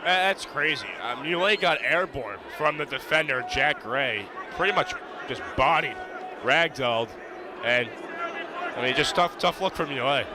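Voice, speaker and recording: A man's voice: on the high side, lively intonation, moderate pace, crowd noise. A man giving informal, slangy color commentary on a live radio sports broadcast. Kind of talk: conversational live sports analysis. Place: live at a lacrosse game. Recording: noisy live stadium broadcast. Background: venue ambience and noise.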